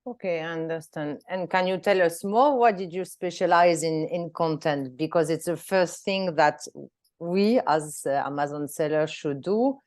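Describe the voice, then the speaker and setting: A woman's voice: low-pitched, moderate pace, darkish tone, no room sound, clean studio sound. A woman hosting a webinar, asking her guest questions and giving examples over a video call. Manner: asks questions, gives examples. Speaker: webinar host. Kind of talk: interviewing a guest.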